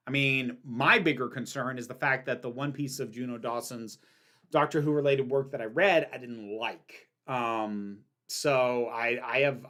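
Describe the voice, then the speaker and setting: A woman's voice: extremely low pitch, fairly bright tone. A woman hosting a casual livestream, reading out chat questions and answering candidly, with frequent ums and restarts. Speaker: livestream host.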